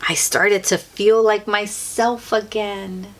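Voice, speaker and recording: A woman reading a children's picture book aloud as a storyteller, expressively and theatrically, with drawn-out emphasis. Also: mid-range voice, level tone.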